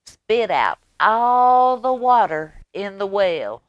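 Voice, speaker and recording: A woman voicing a plucky little male rooster who crows his demands in a loud, high chant. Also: big pitch swings, takes it slowly, dry recording, lo-fi sound.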